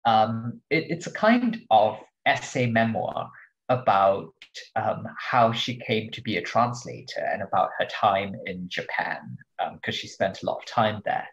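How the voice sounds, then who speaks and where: A man's voice: fairly low pitch, lively intonation, a little slow, highs cut off. A male translator guest talking about books in a thoughtful, hesitant way with many ums. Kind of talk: casual podcast interview.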